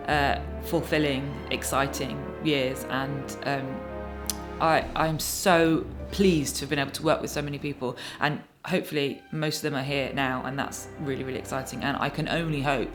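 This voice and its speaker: Woman, extremely low pitch, hesitant reflective interview, clear background music. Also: steady tone, moderate pace. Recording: music playing behind the voice.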